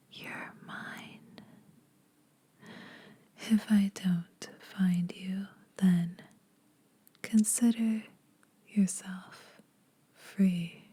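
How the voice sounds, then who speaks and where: A woman playing a menacing, teasing vampire huntress in a soft, breathy ASMR whisper, slow, deliberate and condescending. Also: fairly low pitch, fairly even intonation, heavily acted. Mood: cranky, menacing.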